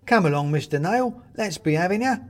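A man's voice: high voice, expressive, a little fast, darkish tone, voice pushed up. A man voicing a bold male ghost of an old Londoner in an audiobook, calling out in a cheery, colloquial way. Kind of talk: audiobook character voice.

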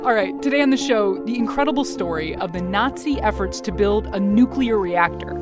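A woman's voice: mid-range voice, animated, a little fast, over music. An American woman hosting a science podcast in a casual, playful, conversational storytelling style. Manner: informal, playful.

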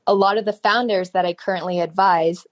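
A woman's voice: mid-range voice, fairly even intonation, brisk. A woman in her thirties from California telling her life story as a podcast guest. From California, USA. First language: Mandarin Chinese. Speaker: podcast guest, startup founder. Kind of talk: conversational interview storytelling.

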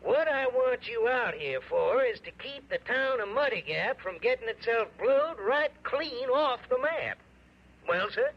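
An actor playing a folksy, anxious small-town newspaper editor with a drawl, in 1960s radio drama style. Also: male voice, extremely high pitch, animated, unhurried, full character voice. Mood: urgent, folksy. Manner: folksy, colloquial, hesitant drawl. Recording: dull old radio broadcast recording.

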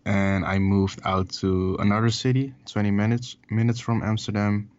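A young man with a Dutch accent and a very low, deep voice answers in English, his second language. He talks in a relaxed, halting way, pausing on fillers. The call audio sounds dull and lo-fi. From Netherlands. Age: 20s. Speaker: musician guest. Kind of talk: casual interview over a call.

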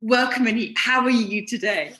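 A woman's voice: on the high side, brisk, bright, forceful tone, roomy sound. A woman hosting an interview podcast, gushing and stammering through repeated words. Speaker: podcast host.

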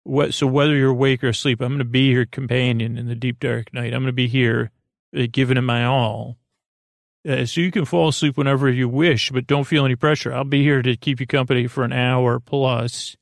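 A man hosting a sleep podcast in a gentle, reassuring, hesitant way, rambling through digressions and half-restarted sentences. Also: mid-range voice, little pitch movement, a little fast, vocal fry, dry recording, studio quality. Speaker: host of a bedtime sleep podcast. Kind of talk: rambling, meandering monologue to lull listeners to sleep.

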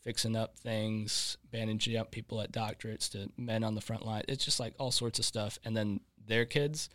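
A man's voice: on the low side, flat delivery, normal speed, fairly bright tone, no room sound. A man in his twenties, a podcast guest chatting in a joking, easygoing way. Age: 20s. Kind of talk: casual chat.

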